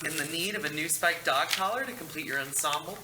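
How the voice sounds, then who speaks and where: A man's voice: fairly high pitch, brisk, lightly acted. A man playing a catty, sarcastic male ghost with a dry, cutting manner at a comedy screenplay table read. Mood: snarky. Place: large meeting room. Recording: noisy room microphone.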